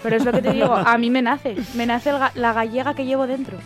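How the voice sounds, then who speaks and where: Female radio co-host, light higher voice, playful chatter over a clear music bed. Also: fairly even intonation, fast talker. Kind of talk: casual radio chat.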